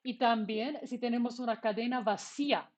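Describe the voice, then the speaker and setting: A woman's voice: a little high, moderate pace. Woman teaching coding. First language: Finnish. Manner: explains step by step, asking and answering her own questions. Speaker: university lecturer. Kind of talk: online programming lecture.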